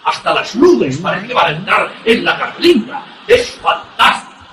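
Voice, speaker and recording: Distant and echoey, a man acts as an excitable fighter pilot in a suspense radio drama. His voice swings wildly in pitch. He is reckless and intense, exclaiming breathlessly. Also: normal speed, full character voice. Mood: exhilarated. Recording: distant, echoey, noisy cockpit-like sound.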